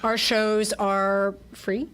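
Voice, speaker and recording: A woman's voice: mid-range voice, level tone, extremely slow, a bit bright, clean tone. A woman speaking as a theatre troupe representative in a casual radio interview, chatting and explaining as she goes. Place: radio station studio.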